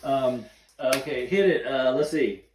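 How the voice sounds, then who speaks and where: A man hosting a podcast in an excitable casual fan chat, repeating and rephrasing himself as he goes. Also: medium pitch, unhurried, recorded from a distance, a little hiss or hum. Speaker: podcast host.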